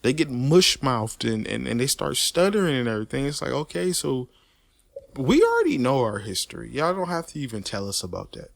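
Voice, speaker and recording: Animated man ranting, dry close sound. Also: mid-range voice, a little fast, creaky, no room sound. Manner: animated, emphatic and repetitive, with frequent restarts and stutters; swears freely, street slang. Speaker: host of a personal commentary channel. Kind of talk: unscripted opinion rant.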